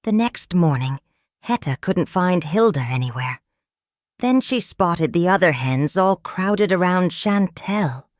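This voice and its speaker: A woman reading a children's picture book aloud in a calm, measured, expressive storytelling manner. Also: fairly low pitch, dry recording, clean studio sound. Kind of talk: children's read-aloud narration.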